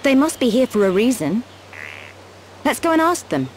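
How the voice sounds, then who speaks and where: Curious game heroine, youngish, higher voice, really animated, bit of outdoor noise. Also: female voice, normal speed. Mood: excited, curious. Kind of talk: video game cutscene acting.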